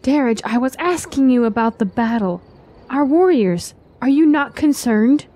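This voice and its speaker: Anxious, mellow-voiced fantasy queen played by a woman. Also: high-pitched, lively intonation, normal speed. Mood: worried. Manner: earnest, worried.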